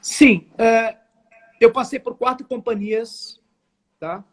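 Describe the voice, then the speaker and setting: Sao paulo guy in his 40s telling his story on a video call, husky voice, kinda formal, keeps restarting. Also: extremely high pitch, slow pace, a bit bright. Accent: São Paulo Portuguese. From São Paulo, Brazil. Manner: earnest and emphatic, frequent restarts and formal phrasing. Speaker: guest, network marketing entrepreneur. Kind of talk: live interview storytelling.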